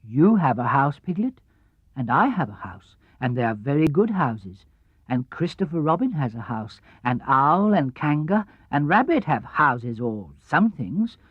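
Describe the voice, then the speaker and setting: A man voicing an amiable, slow-witted toy bear from a children's storybook, simple, deliberate and earnest. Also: a little high, slightly rough, dry recording, phone-quality sound, heavily acted. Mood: thoughtful.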